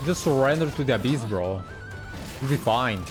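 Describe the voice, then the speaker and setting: A man's voice: medium pitch, animated, normal speed. A man giving casual reaction commentary as a gaming streamer. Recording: game music behind the voice. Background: game music.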